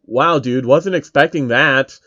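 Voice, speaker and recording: A man's voice: medium pitch, unhurried, sharp tone, no room sound, lo-fi sound. A man voicing a foul-mouthed, slangy, cowardly male gamer in a story. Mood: scared. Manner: crude, slangy.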